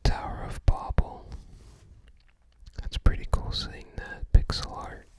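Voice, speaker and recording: An ASMR host whispering softly and breathily close to the microphone, musing casually and tentatively. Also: takes it slowly. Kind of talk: ASMR, casual commentary while browsing a game guide book.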